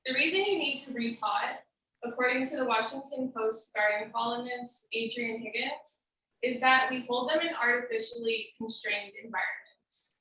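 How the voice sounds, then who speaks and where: A woman with a fairly high, bright voice gives an instructional speech. She explains step by step in a casual, student-presenter way. The sound is very echoey and a little distant from the microphone. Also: expressive, moderate pace. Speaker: student giving a demonstration speech. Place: indoor room on a recorded video meeting.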